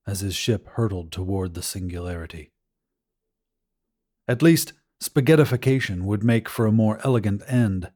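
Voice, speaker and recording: A man's voice: fairly low pitch, dark tone. A man narrating a scripted short story as an audiobook reader.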